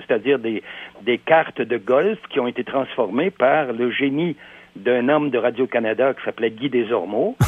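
A man's voice: medium pitch, no echo. Man on a phone line. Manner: emphatic, repeats words while searching, many hesitations. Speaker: radio guest commentator. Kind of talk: opinionated radio commentary. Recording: dry phone-line sound.

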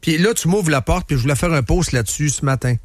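A man's voice: on the high side, fast talker, dry recording. Chatty Quebec radio host, man. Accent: Quebec French. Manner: chatty, emphatic, repeats himself. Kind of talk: casual radio talk. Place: radio studio.

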